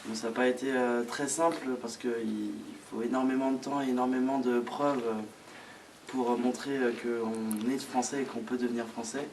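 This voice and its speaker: A young man, a student answering informally in an interview, hesitant and pausing often. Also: mid-range voice, fairly even intonation. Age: young adult. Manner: hesitant, frequent pauses. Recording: distant mic, faint background noise.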